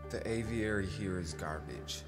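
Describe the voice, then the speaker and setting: A man's voice: low voice, normal speed, close to an everyday voice. An actor playing a withdrawn, defensive man who speaks curtly and dismissively in naturalistic stage-play dialogue. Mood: sullen, dismissive. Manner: curt, dismissive. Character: Ross, sullen, defensive, withdrawn former academic man. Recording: long hall reverb with music behind.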